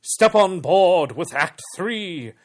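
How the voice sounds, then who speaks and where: A man narrating a radio mystery drama in a dramatic, measured scripted style. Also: high voice, animated, noisy background. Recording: narration over loud music.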